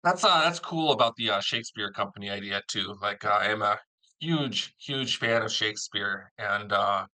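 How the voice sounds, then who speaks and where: A man's voice: lowish voice, moderate pace, pushed and bright. A man hosting a casual interview, chatting as the producer of an audio drama.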